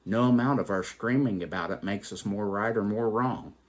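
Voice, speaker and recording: A man telling a story and reflecting on its moral as host, in a theatrical, emphatic, deliberate way. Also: lowish voice. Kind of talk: dramatic poetry recital and moral reflection.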